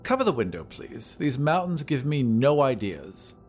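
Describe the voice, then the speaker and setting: A man's voice: medium pitch, very animated, normal speed, a little hiss or hum, close to an everyday voice. A man voicing a haughty, imperious woman passenger who is curt and easily offended. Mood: bored, dismissive.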